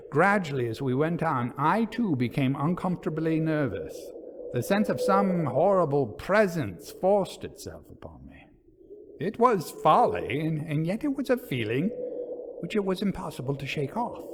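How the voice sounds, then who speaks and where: Expressive male ghost story narrator. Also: fairly high pitch, noisy background. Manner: theatrical, deliberate, suspenseful. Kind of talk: dramatic reading of a gothic ghost story.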